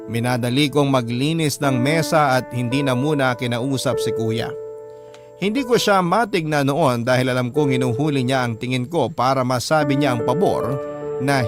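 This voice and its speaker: A man playing an uneasy young husband, narrating his own story in a measured, confiding radio drama style. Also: medium pitch, natural voice. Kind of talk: scripted first-person radio drama narration.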